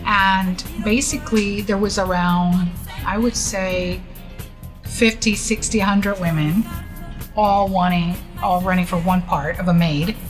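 Expressive middle-aged woman from Puerto Rico. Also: medium pitch, slow pace. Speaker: writer. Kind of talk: interview. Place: indoor office. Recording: music playing underneath.

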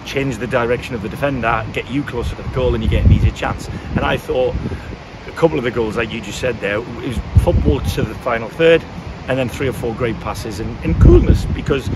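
Fifties guy, football manager after the game, keeps restarting his sentences, outside by the pitch with loud wind on the mic. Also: brisk. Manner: frank, thinks aloud with repeated restarts. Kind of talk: post-match interview. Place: outdoors beside a football pitch. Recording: loud wind and outdoor noise.

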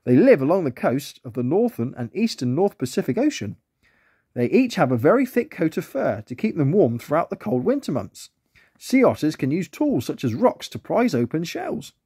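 A man's voice: on the high side, big pitch swings, normal speed, mellow, husky, dry recording, clean studio sound, exaggerated voice. A man voicing a kindly, proper grown male lobster who explains sea life in a children's story. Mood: explaining.

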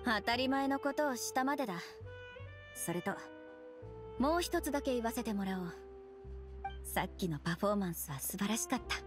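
A woman's voice: a little high, lively intonation, brisk, pauses often, close to an everyday voice. A woman playing a stern young police captain in an anime video game, speaking in a clipped, official, authoritative manner. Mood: composed, approving. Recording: game music behind the voice.

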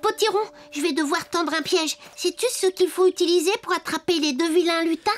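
A woman's voice: very high-pitched, steady tone, fairly quick, soft background music. A woman voicing a cheerful, polite little boy in a cartoon, earnest and perky. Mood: bright, determined. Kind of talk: cartoon dubbing.

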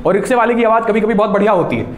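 A man's voice: high voice, very fast. A man in his thirties with an Indian accent, instructing a class emphatically as a voice coach. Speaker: voice and spoken English coach. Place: small indoor classroom. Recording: echoey room.

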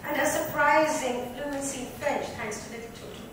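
A woman's voice: high voice, fairly even intonation, normal speed. A woman reading a novel aloud as dramatic third-person narration. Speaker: reader. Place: club lounge with seated panel, indoors. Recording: echoey room, distant mic, clear noise.

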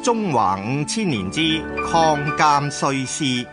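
A man with a Hong Kong Cantonese accent giving formal, measured scripted narration for a historical radio drama. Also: on the high side, sing-song, over loud music.

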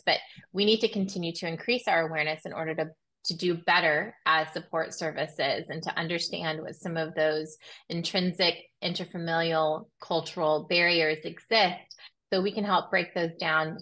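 A woman in her thirties hosting an online panel, introducing the guests and asking them questions in a measured way over a video call. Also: on the low side, fairly bright tone, low-quality recording. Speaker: host, panel moderator. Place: home room. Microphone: video call with earbuds.

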